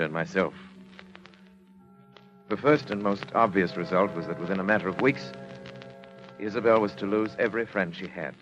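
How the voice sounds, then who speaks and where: An actor playing a controlling, calculating husband in a 1940s radio drama, speaking in a measured, formal, deliberate way. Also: male voice, sits low, a bit bright. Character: controlling, coolly calculating husband narrating his story. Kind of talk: 1940s radio drama acting, first-person narration. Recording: dull vintage 1940s radio recording, music behind.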